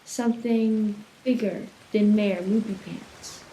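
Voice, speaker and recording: A woman's voice: mid-range voice, fairly even intonation, slow, mellow. A woman narrating a children's radio play in scripted storytelling style. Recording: echoey room, faint noise.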